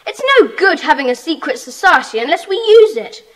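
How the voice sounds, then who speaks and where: A very high-voiced schoolboy in a children's audio drama. He is bossy, brisk and earnest, running his little club with lively swoops in pitch. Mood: earnest, organising. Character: bossy, eager schoolboy leader of a children's secret society.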